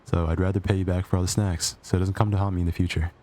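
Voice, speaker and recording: A man playing a cocky, possessive, sarcastic supervillain with a soft spot, in an intimate ASMR roleplay with a teasing, conversational delivery. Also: low-pitched, little pitch movement, very fast, natural voice. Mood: wry, teasing.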